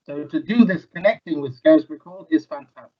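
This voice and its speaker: Rich: A man with a fairly high voice briskly introduces the guests and hands the floor to the next speaker. His call audio sounds dull and lo-fi. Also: big pitch swings, creaky. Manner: brisk, handing over to speakers. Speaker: event host.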